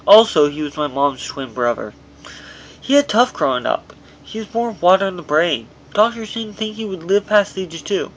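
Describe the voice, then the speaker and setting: Male author narrating own memoir, slightly high voice, earnest, amateur recording with light background noise. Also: expressive, normal speed, a bit bright, highs cut off. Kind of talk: scripted audiobook narration.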